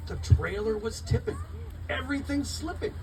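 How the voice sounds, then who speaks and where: A man reading his picture book aloud at a live event, expressive and rhythmic in the rhymes, with informal asides to listeners. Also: very high-pitched, moderate pace. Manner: expressive, rhythmic storytelling of rhyming verse. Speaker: children's book author reading his own book. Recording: distant mic, noisy live room.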